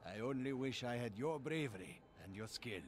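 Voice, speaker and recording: A man's voice: medium pitch, lively intonation, normal speed, over loud music, close to an everyday voice. An actor playing an earnest, helpful townsman in a fantasy video game.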